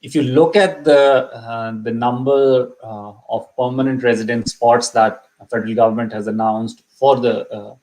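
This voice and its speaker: A man's voice: medium pitch, fairly even intonation, normal speed, few pauses, darkish tone, a little hiss or hum, poor audio. A middle-aged man whose first language is Urdu, hosting a live immigration session and talking casually with many ums and restarts, a little sick with a cough. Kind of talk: casual live-stream talk.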